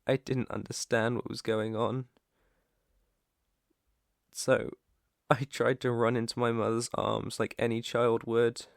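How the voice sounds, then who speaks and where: A British man playing an earnest, protective alpha werewolf in an ASMR roleplay, with a hesitant, stammering, soft-hearted way of talking and a creaky voice. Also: medium pitch, no echo, clean studio sound. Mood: sad, wounded. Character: protective young male alpha werewolf, earnest, shy outcast.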